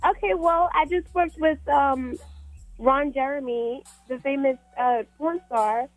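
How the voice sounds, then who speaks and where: A woman's voice: sits high, measured pace, no room sound, poor audio. A woman with a Trinidadian accent chatting casually as an interview guest, hesitant and often starting over. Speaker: guest, fashion model. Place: radio studio. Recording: music playing behind. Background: music.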